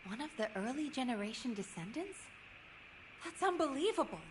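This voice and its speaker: A businesslike female operations commander with a fairly high voice. She is direct, measured and formal, heard over faint noise. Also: big pitch swings. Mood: astonished, careful. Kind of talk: scripted video game dialogue.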